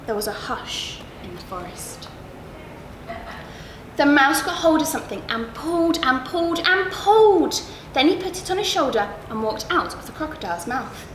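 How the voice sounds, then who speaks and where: A woman narrating a children's bedtime story in an expressive, deliberate storytelling manner with stressed words. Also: high voice. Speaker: story reader at a school. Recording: roomy echo, clear background noise.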